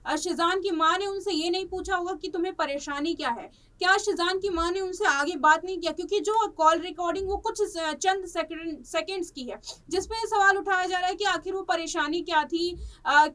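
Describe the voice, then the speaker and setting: A woman presenting news commentary in a halting, repetitive way, stretching words as she searches for the next. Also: extremely high pitch, bright, forceful tone. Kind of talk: unscripted news commentary on a celebrity case. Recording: distant microphone.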